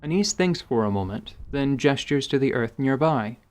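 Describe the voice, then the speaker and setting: A man's voice: mid-range voice, expressive, moderate pace. A man giving scripted, present-tense narration for a fantasy audio drama.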